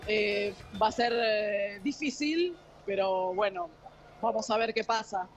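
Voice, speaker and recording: A woman's voice: mid-range voice, expressive, takes it slowly, faint music behind. A woman from Italy, raised elsewhere, speaking as a guest in a live TV news interview, hesitant and full of fillers and restarts.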